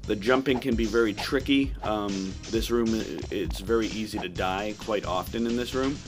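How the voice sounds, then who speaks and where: A man's voice: on the low side, moderate pace. A man giving casual, matter-of-fact commentary as he plays through a video game. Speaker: gaming video host. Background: game music.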